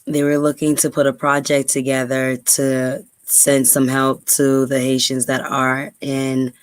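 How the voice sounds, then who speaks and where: A woman's voice: extremely low pitch, monotone, measured pace. Earnest New woman vlogger. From New York, USA. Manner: earnest, many ums and repeats. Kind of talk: casual talk to camera, then reading aloud.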